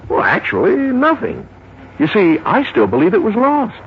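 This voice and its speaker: An American actor playing a folksy, easygoing small-town police sergeant in a radio drama, gossiping in a hesitant, insinuating way. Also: male voice, high-pitched, huge pitch swings, normal speed, slightly rough, full character voice. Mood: sly, insinuating. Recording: dull 1950s radio recording, faint noise.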